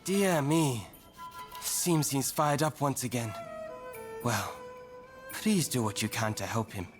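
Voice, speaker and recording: A man plays a world-weary photographer in a video game. His voice sits in the middle range, measured and courteous. Soft music runs faintly behind it. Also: mid-range voice, lightly acted. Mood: concerned. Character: polite, world-weary male photographer with a broken camera. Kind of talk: video game cutscene acting.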